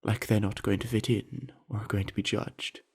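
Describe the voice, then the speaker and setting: A man playing a friendly, earnest young orc university jock in an ASMR roleplay, chatting easily in a gravelly, husky voice with musing pauses. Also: fairly low pitch, level tone, darkish tone. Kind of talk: scripted ASMR roleplay addressed to the listener.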